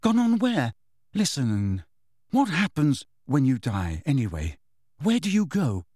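A man's voice: mid-range voice, wildly swooping pitch, unhurried, darkish tone, dry recording, clean studio sound. A man voicing an impatient, pleading teenage boy wizard in a storybook reading, urgent and insistent with his questions. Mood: agitated.

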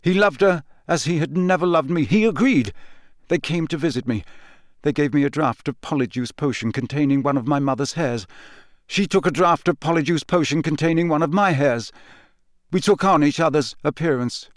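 A man's voice: on the high side, moderate pace, no room sound, pitched above the performer's own voice, lightly acted. Storybook young male prisoner, voiced by a man: dazed, detached, bitter confession in clipped sentences, dry sound. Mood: flat bitterness. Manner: flat, expressionless, clipped sentences.

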